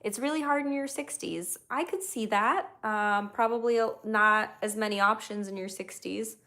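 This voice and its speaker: A woman from Ukraine, a native Russian speaker, casually chatting on her livestream as a comedian and podcast host. She reads viewer comments aloud and thinks out loud with easy pauses. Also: medium pitch. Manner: easygoing, thinks aloud with frequent ums, reads chat comments aloud. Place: at home, brushing her dog.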